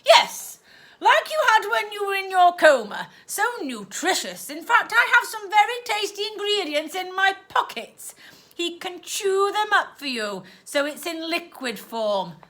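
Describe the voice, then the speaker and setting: A woman voicing a scheming, cruel older aunt who talks loud and clipped, with sickly-sweet baby talk. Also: extremely high pitch, very animated, measured pace, pitched above the performer's own voice, full character voice. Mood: theatrical menace.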